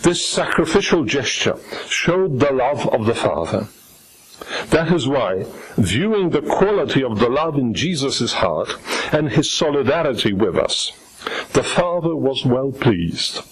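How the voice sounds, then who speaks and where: An older man, a native Polish speaker, giving a formal, measured theological lecture as a priest at a lectern, in a slightly husky voice. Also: medium pitch, very animated, low-quality recording. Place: lecture stage at a lectern, indoors. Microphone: gooseneck lectern microphone.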